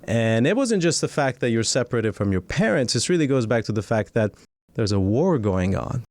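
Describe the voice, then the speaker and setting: A man's voice: medium pitch, very animated, moderate pace, a little mellow, no echo. A man, a lawyer and guest, telling his life story in a studio podcast interview, articulate and earnest.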